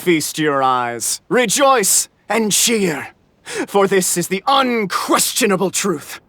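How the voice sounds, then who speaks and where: High-voiced smug theatrical game rascal. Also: male voice, big pitch swings, slow, a bit bright, full character voice. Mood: grandiose, triumphant. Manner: grandiose, mocking. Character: smug, theatrical, sarcastic male clan member. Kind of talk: video game character acting.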